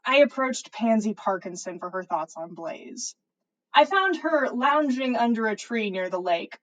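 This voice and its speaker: A woman's voice: a little high, rough recording. A woman narrating fan fiction as a podcast host, reading the story expressively.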